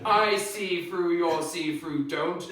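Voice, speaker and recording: A man's voice: a little high, slow, fairly bright tone. Intense man in an echoey live room. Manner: chaotic, intense, rambling wordplay; acts out both sides of an argument. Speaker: spoken-word performer. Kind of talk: live spoken-word comedy set. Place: live event venue on stage. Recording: very echoey room, mic at a distance.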